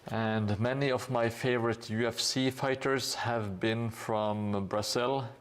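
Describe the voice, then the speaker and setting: A man streaming live, chatting casually and thanking viewers while he paints, with a relaxed, hesitant delivery full of fillers. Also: mid-range voice, takes it slowly.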